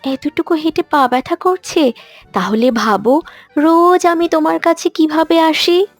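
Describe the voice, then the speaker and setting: A young serpent woman acted by a woman speaks in a lightly high voice, playful and full of affection, as in a cartoon fable. Faint music plays under her lines. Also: a little slow, no room sound. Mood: teasing. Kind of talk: cartoon story dialogue.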